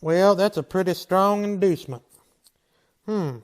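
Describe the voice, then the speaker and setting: A man's voice: high voice, a little slow. An actor playing a stern, wry Old West marshal in a radio drama, blunt and folksy in his manner. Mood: wry, gentle. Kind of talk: old-time western radio drama acting.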